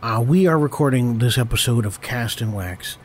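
A hoarse man with a cold hosts a comedy podcast, stumbling over his words and doubling back on himself. His pitch sits in the middle range. A faint hiss runs under the recording. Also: lively intonation, normal speed. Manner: rambling, stammering, self-correcting. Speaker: podcast host.

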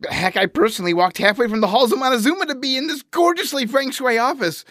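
A woman's voice: high-pitched, quick pace, dry recording, studio quality. A woman playing an eager, gushing teenage fan club leader in a comedy audio drama. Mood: pleading. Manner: gushing, earnest, excitable.

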